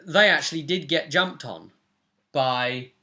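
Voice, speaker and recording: A man's voice: a little high, a little slow, pushed and bright. A man in his twenties casually recapping a tabletop role-play session as game master, recorded on a webcam in a room at home. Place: small domestic room indoors.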